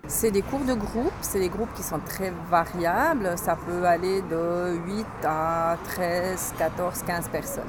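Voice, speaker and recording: A woman's voice: on the low side, takes it slowly, hardly any pauses, noisy background. A woman who volunteers as a French teacher, explaining her classes in a matter-of-fact way. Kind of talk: interview answers.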